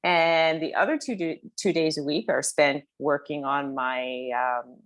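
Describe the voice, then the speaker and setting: A woman guest casually answering personal questions in a halting, thoughtful way, repeating words as she goes. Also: sits low, no room sound. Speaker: pharmaceutical consultant, interview guest.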